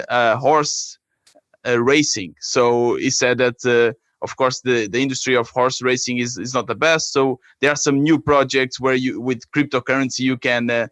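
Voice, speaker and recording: A man from Portugal with a Portuguese accent, explaining his work haltingly as a guest in a conversational interview. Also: mid-range voice, a bit bright, no room sound. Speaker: app creator, podcast guest.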